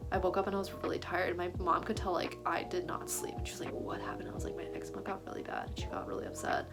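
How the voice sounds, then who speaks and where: A woman in her twenties chatting casually to camera as a vlogger, filling her sentences with "like" and small laughs, raised speaking Chinese at home. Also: fairly low pitch. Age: 20s. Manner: chatty, heavy use of "like", giggly asides. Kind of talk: casual chat.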